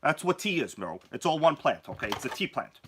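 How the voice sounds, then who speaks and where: Sick, hoarse-voiced live stream host. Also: male voice, a little high, big pitch swings, fairly quick, noisy background. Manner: joking, chatty, greets viewers by name. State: sick with a cold, losing his voice. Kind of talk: casual live stream chat.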